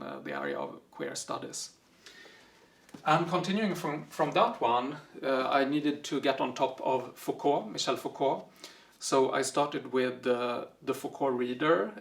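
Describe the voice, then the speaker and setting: A man whose first language is Swedish, talking casually through the books he read, with frequent pauses and fillers. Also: mid-range voice, vocal fry. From Sweden. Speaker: book vlogger. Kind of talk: casual book review vlog.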